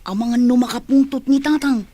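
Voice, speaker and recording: High-pitched, insistent actress as grown woman. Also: fairly even intonation, moderate pace, dark tone. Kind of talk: Ilocano radio drama acting.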